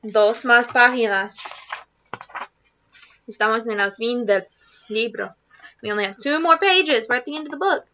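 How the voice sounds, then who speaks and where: A woman teacher reading a children's storybook aloud to students, expressively and deliberately. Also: on the high side, sharp tone, lo-fi sound, a little hiss or hum. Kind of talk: children's storybook read-aloud in Spanish and English.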